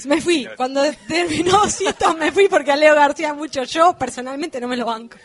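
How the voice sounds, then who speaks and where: A woman's voice: sits high, brisk. A woman with an Argentine accent co-hosting a radio show, joking and laughing in loose chat. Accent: Argentine Spanish. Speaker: radio co-host. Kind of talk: casual radio banter.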